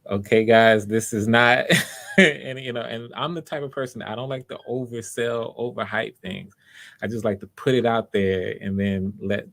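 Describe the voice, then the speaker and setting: A man hosting online auditions in a casual, conversational way, with an enthusiastic and encouraging manner. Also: fairly low pitch. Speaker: host of online voice auditions, casting for a project.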